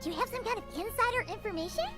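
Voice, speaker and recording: A woman voicing a tiny childlike fairy companion in an anime game, chirpy and blunt, with a squeaky way of talking. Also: lively intonation, very fast. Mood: chirpy curiosity. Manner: chirpy, squeaky, refers to herself in third person. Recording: game music behind the voice.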